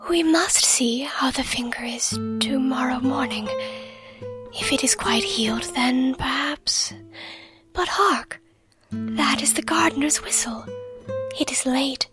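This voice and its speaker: Woman acting as young storybook princess, high-pitched, whispered, teasing, light, guitar backing. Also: slow pace. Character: playful young princess posing as a flower maiden. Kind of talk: whispered fairy tale reading.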